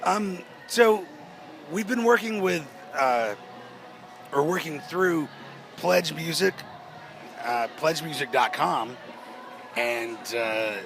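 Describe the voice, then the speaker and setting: A man in a band answering interview questions at a festival, his voice naturally hoarse, talking in a halting way with many restarts and fillers. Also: fairly high pitch, lively intonation, a bit bright. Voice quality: hoarse-sounding. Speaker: rock band member. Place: outdoors at a music festival.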